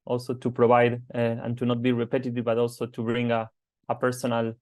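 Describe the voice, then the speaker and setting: A man with a foreign accent sharing a personal story on a conference call, earnest and halting, with many fillers. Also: medium pitch, completely flat delivery, darkish tone. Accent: non-native English. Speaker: advocacy team member. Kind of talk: personal testimony on a webinar. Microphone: conference call microphone.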